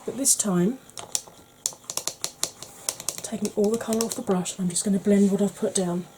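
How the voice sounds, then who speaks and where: A woman from the UK, a beauty vlogger talking through a makeup tutorial in a quiet voice that keeps slipping into whispers. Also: medium pitch, fairly even intonation, normal speed, background noise. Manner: quiet, soft-spoken, drifting into whispers.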